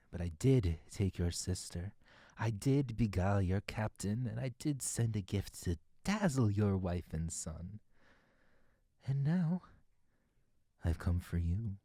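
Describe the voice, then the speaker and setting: An actor playing a manipulative fey lord in an audio drama, with a theatrical, condescending, deliberate delivery. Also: mid-range voice, dark tone, no room sound, studio quality. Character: manipulative fey lord Oberon, smooth and menacing captor.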